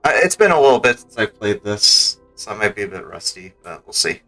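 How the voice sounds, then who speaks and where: A man livestreams a game in a fairly low but bright, forward voice. He talks casually, rambling and hesitating as he goes. Soft music plays faintly behind him. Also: big pitch swings, fairly quick. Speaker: streamer. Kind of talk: casual livestream gaming chat.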